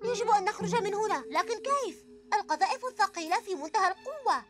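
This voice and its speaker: A strong, cheerful little boy superhero in a dubbed cartoon, voiced by a woman. He sounds eager and wide-eyed in a very high voice that swings up and down. Loud music plays underneath. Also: expressive, fast. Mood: worried. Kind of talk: cartoon dubbing.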